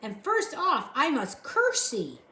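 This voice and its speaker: A woman voicing a loud, simple twelve-year-old servant girl who is nearly deaf and talks in a rustic way, recorded in an echoey room. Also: sits high, wildly swooping pitch, slow pace, voice pushed up, heavily acted. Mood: excited.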